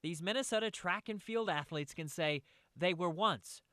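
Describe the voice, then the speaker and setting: A man's voice: high voice, normal speed, bright, forceful tone, no echo, studio quality. An American man narrating a scripted TV news feature as a reporter.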